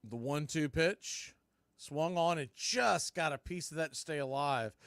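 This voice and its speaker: High-pitched man calling baseball play-by-play. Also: unhurried, gravelly, fairly bright tone, clear, resonant tone, no echo, studio quality. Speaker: play-by-play baseball announcer and stream host. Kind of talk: live play-by-play commentary.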